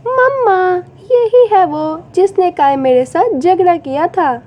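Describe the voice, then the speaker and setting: A woman's voice: extremely high pitch, slow pace, highs cut off, voice pushed up. A woman voicing a small, plaintive young bird child in a children's cartoon. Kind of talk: children's cartoon story acting.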